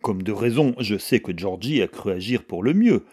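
A man reads a stern gentleman and head of the household in a storybook novel. His voice is fairly low, hard and curt, used to commanding and holding anger in check. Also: animated, normal speed, breathy edge. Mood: resolute. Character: stern, domineering gentleman and stepfather, coldly angry.

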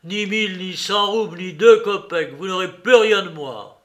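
A man voicing a boisterous, mocking old father who shouts and jeers theatrically. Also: sits high, normal speed, pitched above the performer's own voice.

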